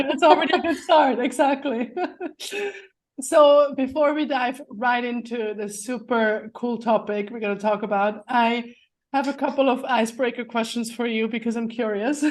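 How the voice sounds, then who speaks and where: A woman hosting a podcast in casual interview chat, upbeat and laughing often. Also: a little high. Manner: upbeat, laughs often, slow careful intro. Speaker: podcast host.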